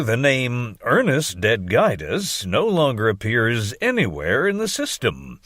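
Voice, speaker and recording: A man plays a stern school official in an audiobook, pompous and disgusted. He accuses formally and emphatically, with hugely swinging pitch in a close, dry recording. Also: fairly bright tone, dry recording, exaggerated voice. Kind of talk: acted audiobook dialogue.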